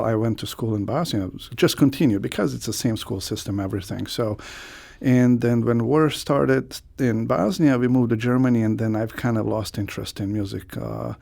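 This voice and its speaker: A man from Croatia, an accordionist, answering radio interview questions with many pauses and fillers. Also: fairly low pitch, creaky, warm tone. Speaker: guest accordionist.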